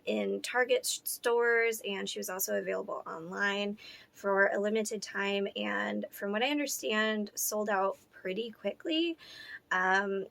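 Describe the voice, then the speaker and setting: A woman in her thirties who sounds hoarse, chatting casually with lots of ums and likes while unboxing a doll for her channel. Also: medium pitch, a bit bright, no echo. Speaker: doll collector vlogger.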